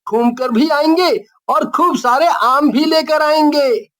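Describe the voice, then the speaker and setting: An actor plays a young village boy in an animated story, curious and eager. He speaks slowly with youthful, expressive exclamations and doubts the scary tales he hears. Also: high-pitched.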